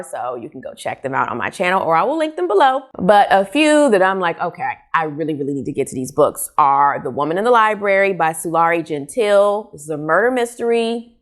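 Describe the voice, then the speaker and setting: A woman with a slightly low voice hosts a casual book vlog. She talks in an expressive, conversational manner, putting emphasis on her opinions as she reviews. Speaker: book vlogger, host. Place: small furnished living room, indoors.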